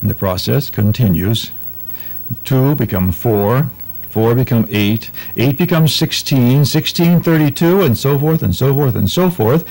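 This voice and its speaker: Emphatic male science narrator, medium pitch. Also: unhurried, vocal fry, a little mellow, rough recording.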